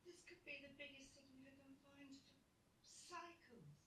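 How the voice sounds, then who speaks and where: A woman playing a proud, stubborn archaeologist in an audio roleplay, with emphatic, expressive delivery. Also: moderate pace, natural voice. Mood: indignant. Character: Dune, proud, determined female archaeologist, stubborn and warm to friends. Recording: roomy, faint rumbling noise.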